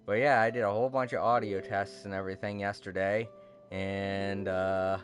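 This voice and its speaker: Sleepy low-voiced man streaming. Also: level tone, soft background music. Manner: rambling, halting with many fillers, self-deprecating. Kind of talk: casual livestream chat. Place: home room with a TV. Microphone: cardioid desk microphone.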